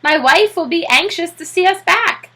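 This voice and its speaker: A woman's voice: extremely high pitch, lively intonation, moderate pace, pushed and bright, pitched above the performer's own voice, heavily acted. A woman voicing an earnest, polite, easily amazed family man in a children's storybook.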